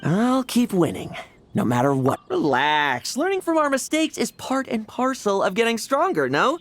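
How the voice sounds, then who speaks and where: Lively, cocky young male knight. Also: medium pitch, normal speed. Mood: playful, determined. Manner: sardonic, nonchalant. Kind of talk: dramatic game voice acting.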